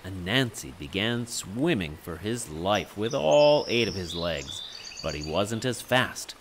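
A man narrating a children's story in an expressive, theatrical way. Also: deep voice, slow pace, background noise. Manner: expressive, dramatic delivery. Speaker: storyteller, puppet show narrator.

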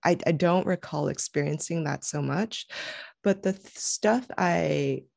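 Fields: woman, low creaky voice, dry sound. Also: unhurried, darkish tone, no room sound. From United States. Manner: thoughtful, many restarts and fillers. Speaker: guest. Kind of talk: casual conversational interview. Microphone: headset.

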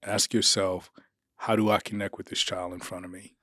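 A middle-aged man hosting a podcast interview, asking questions and murmuring agreement into a studio boom mic. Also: level tone, moderate pace, sharp tone, no room sound. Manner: affirming, frequent murmured agreement. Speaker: podcast host, district official.

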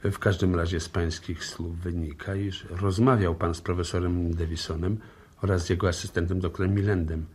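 An actor playing a probing, politely insistent police inspector in a radio play, formal and dry in manner. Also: male voice, low-pitched, little pitch movement, moderate pace, a little mellow, breathy edge. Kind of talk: 1960s Polish radio play acting.

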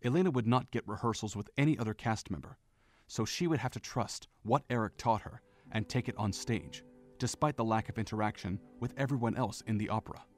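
A man narrating a fan audio drama in a steady storytelling manner, with faint music behind. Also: on the low side. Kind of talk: scripted narration.

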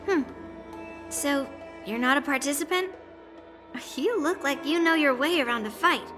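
A woman's voice: high-pitched, a little slow, over music. A woman playing a hot-tempered young swordswoman girl in a video game, casual, cocky and blunt. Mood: curious, casual.

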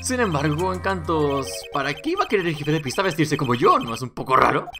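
A man's voice: fairly high pitch, very animated, fast talker, over music. A man voicing a showy male magician who ponders aloud theatrically. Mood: puzzled.

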